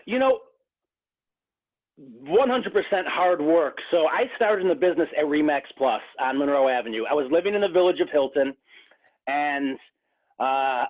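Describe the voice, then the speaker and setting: A real estate agent from the Rochester, New York area, talking earnestly in a casual interview, with many restarts. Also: male voice, a little high, animated, moderate pace. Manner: earnest, frequent restarts and fillers. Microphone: phone. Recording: tinny phone line.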